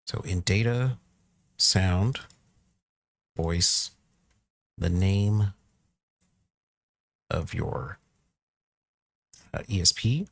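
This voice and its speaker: A man with a low, creaky voice talks through a software tutorial at a slow pace. He is informal and thinks aloud as he works, recorded close on the mic. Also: dry recording. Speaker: tutorial presenter. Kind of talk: casual screen-recorded software walkthrough.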